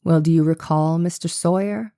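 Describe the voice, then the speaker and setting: A woman playing a male defense attorney in a courtroom drama reading, questioning in a formal, deliberate, insistent and precise way. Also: low voice, fairly even intonation, dark tone. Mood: methodical, probing. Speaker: voice actor reading a trial transcript.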